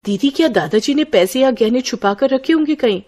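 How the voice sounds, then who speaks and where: A woman's voice: sits high, lively intonation, a little fast, darkish tone. A woman voicing an eager, curious village girl, the younger sister in a scripted story.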